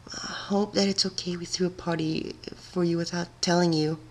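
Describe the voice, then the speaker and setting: A performer voicing a shy, apologetic female cartoon pony who speaks softly and hesitantly. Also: mid-range voice, steady tone, moderate pace, voice pushed up, lightly acted. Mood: timid, apologetic. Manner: hesitant, soft-spoken.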